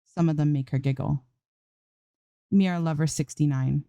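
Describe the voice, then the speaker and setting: A woman's voice: deep voice, steady tone, normal speed, vocal fry, dark tone, no echo, lo-fi sound. A woman narrating a scripted piece of fan fiction as an amateur story reader.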